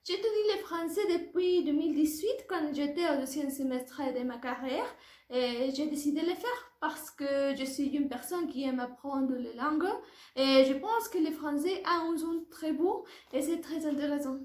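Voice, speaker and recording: High-voiced young Mexican woman, echoey room. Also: normal speed, darkish tone. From Puebla, Mexico. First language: Spanish. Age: early 20s. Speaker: language student. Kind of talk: prepared oral presentation for a French class.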